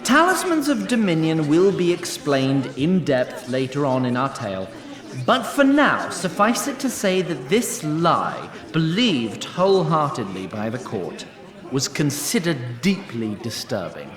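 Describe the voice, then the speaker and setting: Animated, wry male storyteller. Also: a little high, a little slow, reverberant, a crowd in the background. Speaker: narrator. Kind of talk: scripted storytelling narration.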